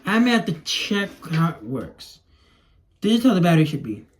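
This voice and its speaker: A man's voice: high voice, big pitch swings, a little fast. A young man who speaks Spanish natively, chatting in a casual, rambling way as he demonstrates something to camera. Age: young adult. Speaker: toy video creator. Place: small room indoors at home. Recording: clear background noise and clicking.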